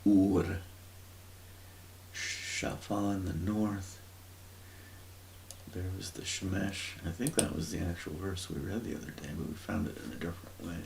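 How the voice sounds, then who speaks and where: A man talks through scripture in a slow, rambling way, often stopping to search for the right word. His voice sits very low with a gravelly rasp. A faint hiss runs under the recording. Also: steady tone. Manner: rambling, searching for words, many fillers. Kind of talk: informal religious teaching, thinking aloud.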